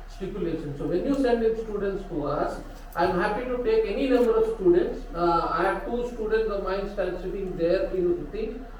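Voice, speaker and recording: A man with an Indian English accent, a cargo industry panelist answering briskly. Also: sits high. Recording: echoey hall, distant mic.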